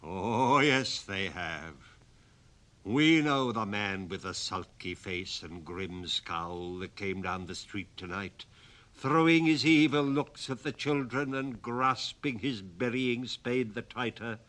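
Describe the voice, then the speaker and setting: A man voicing a stern mocking goblin. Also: lowish voice. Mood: menacing, mocking. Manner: loud, slow and menacing. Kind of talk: dramatic storybook reading.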